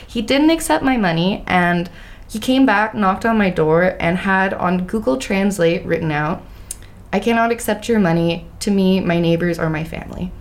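Female host, English Canadian accent, casual and animated, laughs a lot. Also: medium pitch, normal speed. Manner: laughs often, animated storytelling. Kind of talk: casual interview chat.

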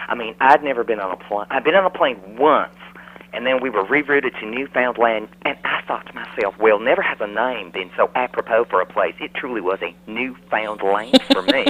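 A man's voice: mid-range voice, bright, forceful tone, slight background noise, poor audio. A man voicing a chatty, folksy middle-aged Southern mother with a Southern US accent who gushes and rambles on a radio call. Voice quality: gushing, folksy, rambling, says "y'all". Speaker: radio caller. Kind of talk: phone-in radio chat.

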